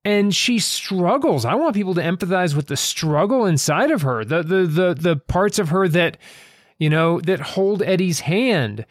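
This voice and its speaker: A man discussing stories thoughtfully as an author and host, speaking haltingly and repeating phrases for emphasis. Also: high voice, lively intonation, no echo, clean studio sound.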